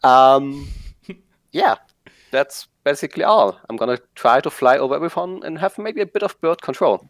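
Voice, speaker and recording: A man's voice: on the high side, lively intonation, normal speed, no room sound. A man with a German accent, a guest player introducing himself in casual, self-deprecating chat.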